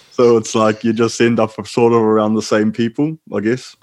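A man with a New Zealand accent talks as a podcast guest, loose and unhurried. His voice sits a little on the low side. He rambles and keeps calling the host bro. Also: flat delivery, darkish tone. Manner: laid-back, rambling, calls the host bro. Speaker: guest, former prisoner. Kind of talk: casual interview talk.

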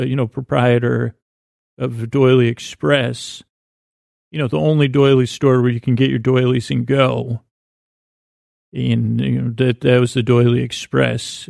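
Creaky-voiced man rambling deadpan. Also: mid-range voice, no room sound, studio quality. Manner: meandering, deadpan, full of restarts and asides. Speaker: podcast host. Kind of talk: rambling improvised comedic monologue.

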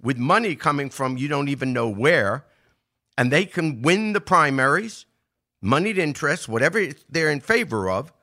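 An older American man delivers conversational political commentary in a bright, forceful voice. He explains in an emphatic way, posing rhetorical questions and building his argument point by point. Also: a little high, lively intonation, moderate pace. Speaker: podcast host giving political commentary.

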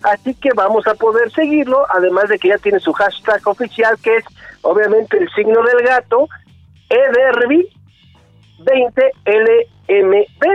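A man's voice: very high-pitched, a little slow, no room sound, highs cut off, faint music behind. A Mexican man in his forties, chatting casually about sports on a radio show, rambling a bit with restarts. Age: 40s. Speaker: sports commentator.

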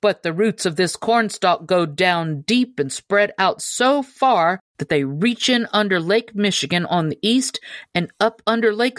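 A woman's voice: mid-range voice, fairly bright tone, no room sound, clean studio sound, voice pushed up. A woman voicing a self-important, officious male naval officer in a storybook reading. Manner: pompous, officious.

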